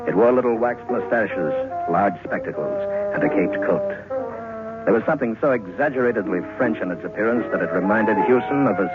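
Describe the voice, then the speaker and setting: A man narrating a scripted horror story for old-time radio in a deliberate, ominous, literary manner. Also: low voice, phone-quality sound. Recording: thin old radio recording, music underneath.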